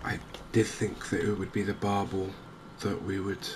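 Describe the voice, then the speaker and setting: A man hosts a cozy gaming video in a soft, low voice. His delivery is gentle and unhurried, with very little rise and fall, as if musing to himself. Clear game ambience runs behind him. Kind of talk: softly spoken cozy ASMR gaming commentary. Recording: clear steady ambient background noise.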